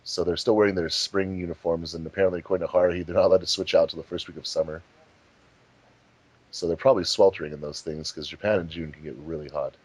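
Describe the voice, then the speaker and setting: A man's voice: sits low, steady tone, fast talker. A man hosting a fandub commentary track, chatting casually about how the episode was made. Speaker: fandub producer and commentary host.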